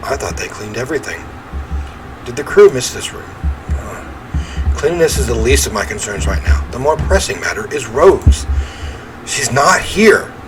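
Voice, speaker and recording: A man reading a first-person horror game story aloud in an expressive, theatrical way. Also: mid-range voice, moderate pace, long pauses, over music, rough recording. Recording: clear ambient noise behind voice.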